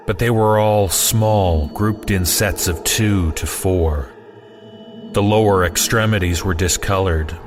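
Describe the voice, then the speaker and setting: A man's voice: deep voice, noisy background. A man narrating a scripted first-person horror story in a conversational way with wry asides. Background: music.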